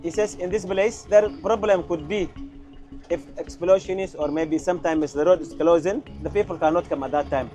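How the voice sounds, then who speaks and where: A man from Somalia with a Somali accent guides and interprets in halting, casual English. His voice sits high, and music plays behind it. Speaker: local tour guide and interpreter.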